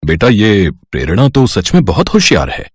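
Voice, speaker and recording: A man's voice: on the low side, huge pitch swings, moderate pace, husky, no room sound, studio quality, voice pushed down, exaggerated voice. A man voicing a kindly middle-aged father in a cartoon story, affectionate and approving. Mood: pleased. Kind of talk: Hindi cartoon story dialogue.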